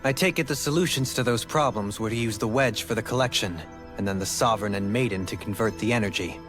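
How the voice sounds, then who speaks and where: A man's voice: fairly low pitch, fast, music in the background, natural voice. A man voicing a dignified, skeptical nobleman in a video game, with formal, clipped speech. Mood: reasoning. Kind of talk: scripted video game voice acting.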